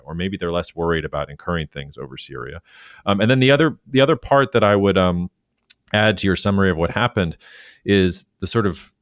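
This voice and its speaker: A man's voice: low-pitched, dry recording, studio quality. A man co-hosting a podcast, talking through news in a loose, chatty way with fillers and restarts. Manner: talkative, many fillers and restarts, animated when quoting. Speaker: podcast co-host. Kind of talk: casual current-affairs discussion.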